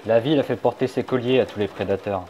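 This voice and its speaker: A sly young male fox, wry and teasing but quietly confiding, played by a man in a fan dub. His voice sits at an ordinary pitch for a man. The recording is a little muffled, with a faint hiss underneath. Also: fast talker, close to an everyday voice.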